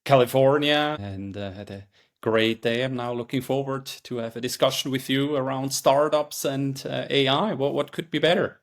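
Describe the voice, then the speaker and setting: A middle-aged man from Switzerland with a Swiss accent, a startup founder answering interview questions in an earnest, halting way with restarts. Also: medium pitch, steady tone. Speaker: podcast guest, startup founder. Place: California.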